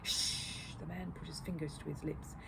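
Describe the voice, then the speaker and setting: A woman reading a children's story aloud as an expressive narrator, with noise in the recording. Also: low-pitched, a little fast. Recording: clear background noise.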